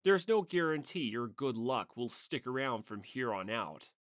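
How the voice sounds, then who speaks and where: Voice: mid-range voice, sing-song, normal speed, dry recording, clean studio sound, pitched below the performer's own voice, close to an everyday voice. A narrator voicing a stern, sceptical, needling schoolmate in a manga audiobook. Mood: stern, doubting.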